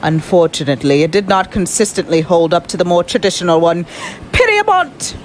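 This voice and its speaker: An actress in an amateur radio drama playing a debate judge, formal and measured as she announces. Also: on the low side, sing-song, slight background noise. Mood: formal announcing.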